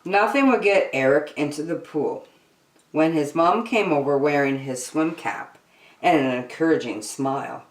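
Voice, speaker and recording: A woman reading a children's picture book aloud as host, deliberately phrase by phrase, in an echoey room. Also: sits low. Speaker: storytime host and reader. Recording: roomy echo.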